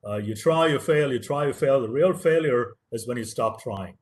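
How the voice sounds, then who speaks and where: A man's voice: mid-range voice, normal speed. A man whose first language is Tamil gives an earnest, motivational webinar lecture as a professor, stressing key words and repeating phrases for emphasis.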